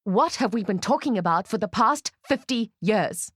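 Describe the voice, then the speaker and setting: A woman voicing a weary, exasperated old woman with a gruff edge, in a dramatic story reading. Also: medium pitch, animated, moderate pace, dry recording, clean studio sound, pitched above the performer's own voice, natural voice.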